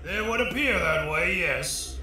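An actor playing a gruff, wisecracking, drunken God in a comic drama, folksy and blunt, recorded in an echoey room. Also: male voice, sits high, lively intonation, unhurried, sharp tone, heavily acted. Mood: wry. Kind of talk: stage-style comic drama dialogue. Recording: very echoey room, faint noise.

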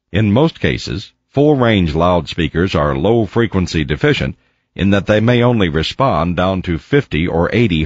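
A man with a low, husky voice narrates instructional material. His delivery is measured and precise, with lively intonation that clearly marks each point of the scripted lesson. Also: no room sound, lo-fi sound. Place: close, dry voice-over recording.

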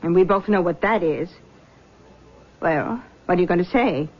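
A woman's voice: fairly low pitch, normal speed, natural voice. An actress playing a doting but meddling, disapproving middle-aged mother, coaxing and pointed, in a 1970s radio drama. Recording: dull vintage radio recording.

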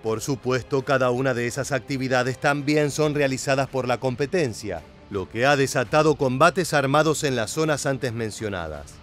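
Man, mid-range voice, scripted voice-over, measured, faint music behind. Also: medium pitch. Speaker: documentary narrator.

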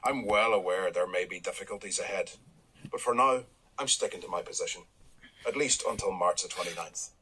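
An actor playing a blunt, wry middle-aged dairy farmer with a Northern Irish accent, in a radio drama. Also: male voice, deep voice, a little fast, pushed and bright, slightly rough, full character voice, poor audio. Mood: wry, stubborn.

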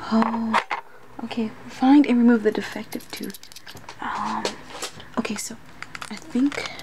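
Soft-spoken ASMR woman, often whispering, noisy recording. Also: medium pitch. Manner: hesitant, muttering to herself as an unsure student. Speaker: ASMR roleplayer. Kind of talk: ASMR roleplay, soft speech slipping into whispers. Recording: noisy close recording with clicks.

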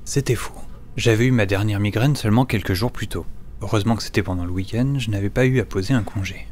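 A man's voice: lowish voice, fast talker, a little mellow, slight background noise, close to an everyday voice. A man playing an eager, timid notary's clerk who tells his own story in a French audio drama. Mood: measured, wry narration. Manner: hesitant, stammering in dialogue. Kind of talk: French audio drama with first-person narration.

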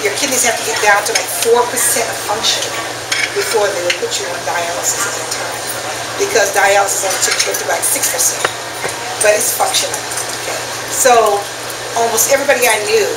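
An older woman giving an informal personal talk to a small group in a restaurant, speaking deliberately with pauses. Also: medium pitch. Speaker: guest speaker at a business networking meetup. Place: restaurant dining room. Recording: echoey, noisy room, distant mic, loud background hum.

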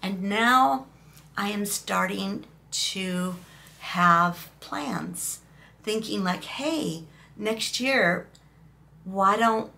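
A woman in her fifties talks slowly to the camera in a small room, her voice hoarse and gravelly after a cough. Her tone is bright and a little sharp, and she rambles in an emotional, chatty way. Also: mid-range voice. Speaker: vlogger. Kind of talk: casual talking-head vlog.

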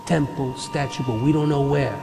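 A man's voice: mid-range voice, fairly even intonation, moderate pace, background noise, lightly acted. A male actor playing a businesslike American military squad leader in a game cutscene, terse and commanding with soldier slang. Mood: businesslike, urgent. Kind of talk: video game cutscene acting. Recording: echoey hall reverb under loud music.

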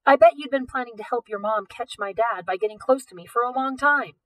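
A woman's voice: a little high, fairly quick, a bit bright, dry recording, pitched above the performer's own voice, natural voice, low-quality recording. A woman voicing a determined, suspicious teenage girl thief in an audiobook, pointed and teasing. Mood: wry, accusing.

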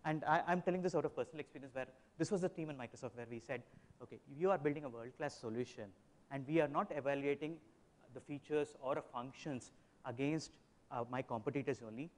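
A man in his forties speaking on a conference panel in a large hotel hall, telling examples with emphatic, quick delivery into a handheld mic. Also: medium pitch, a little mellow. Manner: fast, emphatic, storytelling with examples.

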